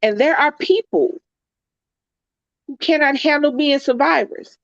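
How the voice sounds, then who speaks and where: A woman's voice: high-pitched, measured pace, dry recording, lo-fi sound. A congested woman co-hosting a casual, heartfelt chat, talking earnestly and reflectively and often repeating her phrases. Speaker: co-host.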